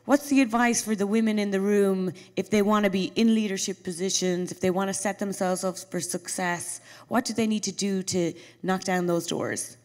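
A woman moderates a panel in a large hall, posing brief, encouraging questions. Her voice sits at a middle pitch for a woman. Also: fairly even intonation, moderate pace, few pauses, a little mellow.

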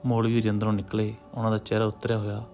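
A man narrates a literary story in a measured, solemn way, pausing between short phrases. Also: lowish voice, completely flat delivery, darkish tone. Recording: music behind the voice. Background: background music.

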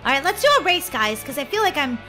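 Very bright, high-pitched excitable woman gamer. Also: fast talker. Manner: excitable, exclaiming, giggly. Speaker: gaming video host. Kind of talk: playful gameplay commentary. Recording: music under the voice. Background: music.

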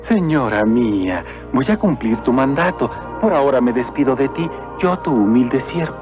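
An actor playing a humble, devout young peasant in an animated film, earnest and deferential, with a Mexican accent. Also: male voice, medium pitch, normal speed, music in the background, close to an everyday voice. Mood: reverent. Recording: dull lo-fi old film soundtrack.

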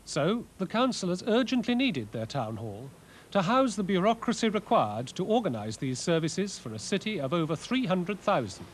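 A man giving scripted, scholarly documentary narration in a measured way, with wry asides. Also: sits high, big pitch swings, slight background noise. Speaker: presenter, art and architecture lecturer. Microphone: voice-over narration.

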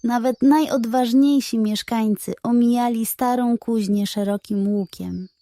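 A woman with a fairly high voice narrates a children's bedtime tale. Her delivery is slow, measured and expressive, with a dry, close sound. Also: no echo. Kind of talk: scripted bedtime storytelling.

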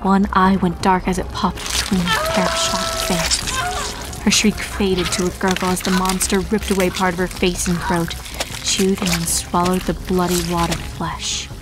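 A woman's voice: fairly low pitch, very animated, a little slow, rough recording. A woman reading a horror story aloud as a dramatic scripted narration. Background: music.

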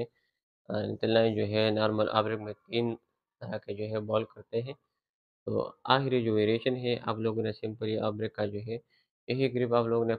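A young man talks through cricket bowling grips on an open rooftop, his voice sitting a little low and close and dry. The recording is dull and lo-fi, and he explains in a loose, casual way, padding his lines with fillers. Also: no echo. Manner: relaxed explaining, heavy use of filler phrases. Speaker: cricket coach. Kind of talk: casual how-to tutorial. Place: rooftop outdoors.

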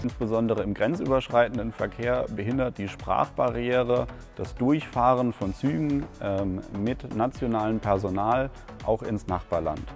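Formal, measured man in his thirties. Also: mid-range voice, creaky, over music. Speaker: company spokesperson. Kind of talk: scripted presentation. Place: large indoor atrium.

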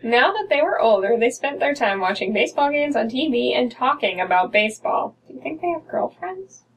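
Young American female co-host, casual reader and banterer. Husky voice, slightly distant recording. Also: high-pitched, big pitch swings, a little fast. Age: young adult. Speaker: co-host reading stories aloud. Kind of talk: casual banter and reading aloud. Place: small dim room indoors. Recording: somewhat distant from mic.

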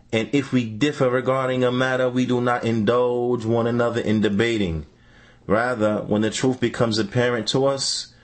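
A man reading a translated religious teaching aloud, phrase by phrase with deliberate breaks. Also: mid-range voice.

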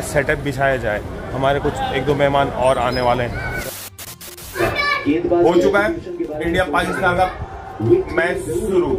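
A man in his thirties chatting casually to viewers in a selfie vlog, filmed on a camera held at arm's length, with a jokey, direct way of talking. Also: on the high side. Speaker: vlogger. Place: shops, outdoor grounds and a home. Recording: loud music and crowd behind.

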